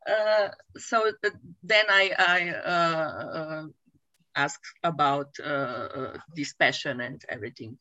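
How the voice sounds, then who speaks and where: Hesitant, slow-talking woman coachee. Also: low voice, sharp tone, no room sound, highs cut off. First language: not English. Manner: hesitant, halting, many uhs. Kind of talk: mentoring session.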